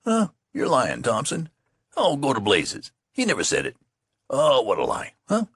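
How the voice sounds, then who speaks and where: Man putting on young rural soldier, higher voice, husky, swingy. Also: animated, measured pace, dry recording, clean studio sound, heavily acted. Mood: grumbling. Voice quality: rustic folksy dialect. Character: weary young male soldier, the youth.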